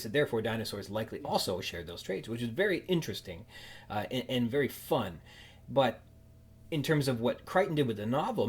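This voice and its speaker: Husky-voiced man hosting a podcast. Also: medium pitch. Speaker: podcast host. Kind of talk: conversational podcast interview.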